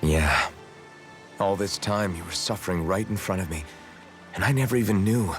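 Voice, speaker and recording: A man's voice: low-pitched, normal speed, fairly bright tone, soft background music. A man voicing a self-doubting, brooding young hero in an English video game dub, speaking in a subdued, reflective way. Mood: understanding.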